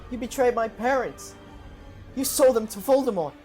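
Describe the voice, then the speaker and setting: A woman's voice: a little high, lively intonation, moderate pace, darkish tone, faint music behind, natural voice. A woman playing a brave, defiant teenage boy wizard in an audio drama, with intense, dramatic delivery. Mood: furious. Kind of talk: fan audio drama acting.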